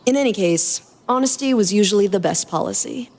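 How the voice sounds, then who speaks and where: A woman's voice: medium pitch, expressive, brisk, a little mellow. Woman narrating a story with long reverb. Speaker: podcast host reading fan fiction.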